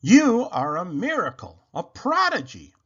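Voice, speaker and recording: A man voicing a doting, affectionate middle-aged gentleman in an effusive, theatrical storybook style. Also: high voice, huge pitch swings, a little slow, husky, dull audio, voice pushed up, heavily acted. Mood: adoring, earnest.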